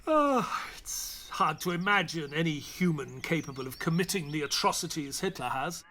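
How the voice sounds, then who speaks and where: A man's voice: a little high, animated, normal speed, bright, forceful tone, slight background noise. An actor playing an earnest, weary male companion to a detective, questioning and reflective, in an audio play. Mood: mellow, curious. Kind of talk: audio play acting and narration.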